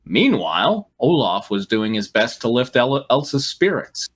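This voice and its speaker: A man hosting a comedy reading of fan fiction, narrating aloud and breaking off for sarcastic, animated asides. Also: medium pitch, measured pace. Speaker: host and narrator.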